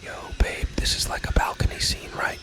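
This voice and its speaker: A man's voice: fairly quick, sharp tone, soft background music, close to an everyday voice. A man voicing Chad, a cocky young male gym bro with slangy, boastful talk and a whispery voice.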